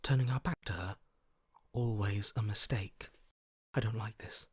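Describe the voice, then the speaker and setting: An actor playing a wary, primal survivor's inner voice in a video game, speaking in terse, watchful phrases. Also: male voice, lowish voice, a little slow, darkish tone, husky, no echo, studio quality. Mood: wary, focused. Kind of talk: video game character voice acting.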